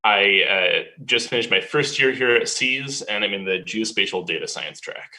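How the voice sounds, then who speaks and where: A young man leads his team's presentation over a video call, upbeat and brisk. His voice is lowish but bright and forward. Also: animated, rough recording. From Central Illinois. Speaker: graduate student team lead.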